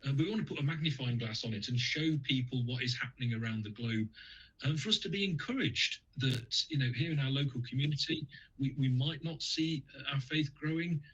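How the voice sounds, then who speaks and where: A man's voice: mid-range voice, fairly quick, a bit bright, slightly rough, muffled recording. A man from the UK speaking as a guest on a radio interview, talking in a hesitant way with many fillers and restarts.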